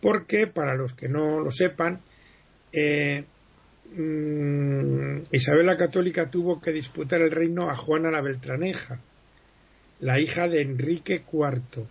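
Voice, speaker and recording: A man explains history in a relaxed radio interview. He digresses and pauses often, correcting himself as he goes. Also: a little high, vocal fry, slightly rough. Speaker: history guest speaker. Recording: muffled lo-fi broadcast sound.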